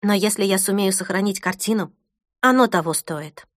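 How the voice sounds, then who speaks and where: A woman's voice: medium pitch, normal speed, no room sound, studio quality, close to an everyday voice. A woman voicing an earnest, worried woman caught in a legal fight, speaking in clipped phrases, in a storybook reading.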